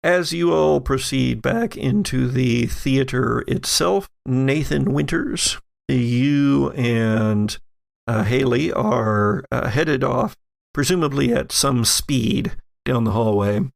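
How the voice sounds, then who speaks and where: A man acts as game master, improvising narration for a tabletop horror game. His voice is heavily creaky and sits at a middle pitch. He speaks slowly, feeling his way through the phrases. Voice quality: gravelly, creaky. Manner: halting, hesitant phrasing.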